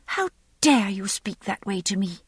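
A woman's voice: on the high side, sing-song, normal speed, no echo, clean studio sound, voice pushed up. A woman voicing a spoilt, headstrong aristocratic girl of seventeen in an audiobook, imperious, petulant and insistent. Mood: defiant.

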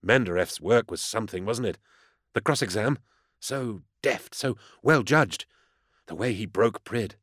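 A man's voice: fairly low pitch, a little slow, a bit bright, husky, no echo, clean studio sound, voice pushed up. A man voicing an eager, earnest young inquisitor in an audiobook, with a gushing, deferential and hesitant manner. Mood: admiring. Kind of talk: audiobook character dialogue.

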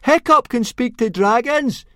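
A man voicing an excitable boyish young male Viking with a squeaky, eager voice. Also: moderate pace, no room sound, clean studio sound. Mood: urgent.

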